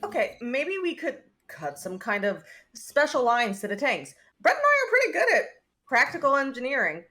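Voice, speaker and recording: A woman's voice: high-pitched, wildly swooping pitch, normal speed, pushed and bright, exaggerated voice. A woman playing a pushy, hands-on spaceship engineer in a sci-fi script table read. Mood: insistent.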